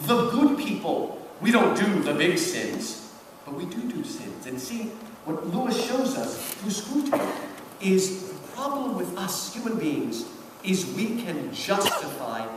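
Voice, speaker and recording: A man giving an animated public lecture on literature, emphatic and repetitive, often checking in with his listeners. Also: sits high. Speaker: lecturer giving commentary on a book. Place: large hall, public event. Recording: distant, very echoey hall, background noise.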